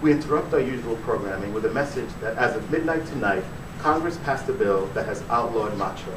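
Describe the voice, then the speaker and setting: A man voicing a smooth, very official television newscaster, measured and formal, in a live story reading. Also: fairly low pitch, lightly acted. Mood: official, grave. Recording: echoey room from far off, noisy.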